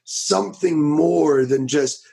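A man in his thirties from Florida, a preacher telling his story in a casual interview, with a raspy voice, talking loud and fast with many restarts. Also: on the high side. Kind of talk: casual testimony in a podcast interview.

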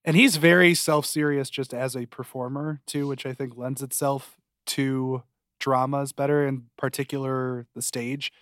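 Dude co-hosting a tv podcast, relaxed chat format, average pitch, dry audio. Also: male voice, unhurried, no room sound. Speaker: podcast co-host. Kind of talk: casual TV review podcast chat.

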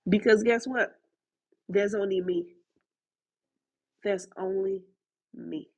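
A woman's voice: medium pitch, fairly even intonation, slow pace, darkish tone, breathy edge. A woman podcast host with a blend of Haitian and Southern US accent, giving emphatic motivational talk straight to her listeners and repeating her points for effect. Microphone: recorded through a voice chat app.

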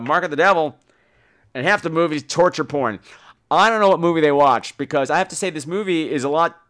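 Animated, high-voiced man hosting a podcast. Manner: rambling, animated, theatrical emphasis. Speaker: podcast host. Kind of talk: casual solo review monologue.

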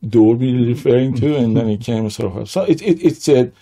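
An older man from Iran with a Persian accent, telling his life story as an interview guest in a halting way, with many restarts. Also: mid-range voice, mellow, husky.

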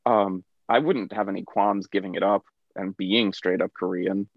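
A low-voiced American man talks frankly on a podcast, tripping over restarts and poking fun at himself. His mic sounds dull and lo-fi, with a dry, close sound. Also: steady tone, moderate pace, no echo. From United States. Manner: rambling, frank, self-mocking, many restarts. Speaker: podcast co-host. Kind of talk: casual podcast chat.

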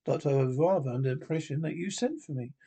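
Man reading wry time-travelling gentleman, dry and gallant, mellow husky voice. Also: fairly high pitch, quick pace. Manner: dry, gallant, mildly sardonic.

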